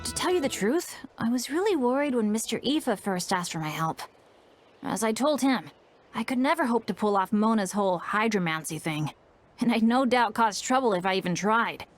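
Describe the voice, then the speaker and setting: Girl-voiced actress doing young fantasy game adventurer, high and lively, bit of music under it. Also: normal speed, close to an everyday voice. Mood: warm, reflective. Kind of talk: scripted video game dialogue.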